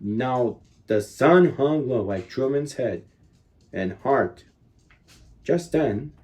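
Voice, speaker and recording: A man in his thirties reading a children's picture book aloud expressively, leaning on key words with deliberate dramatic emphasis. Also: mid-range voice, lively intonation, darkish tone. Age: 30s.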